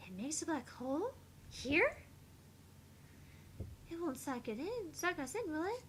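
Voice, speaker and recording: A woman playing a sweet, naive young girl in a visual novel, with a soft, airy voice and innocent, hesitant questions. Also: high-pitched, big pitch swings, unhurried, a little hiss or hum. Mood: worried.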